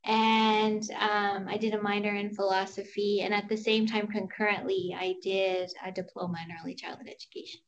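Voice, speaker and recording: A woman's voice: medium pitch, level tone, moderate pace, few pauses, lo-fi sound, a little hiss or hum. Easygoing woman telling her story. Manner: easygoing and reflective, with light fillers. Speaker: early childhood educator and center supervisor. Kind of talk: casual personal story.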